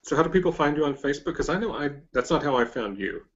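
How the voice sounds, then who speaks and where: A man hosting a casual interview, putting his questions in a tentative, halting way. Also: fairly high pitch, lively intonation, muffled recording. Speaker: interviewer, host.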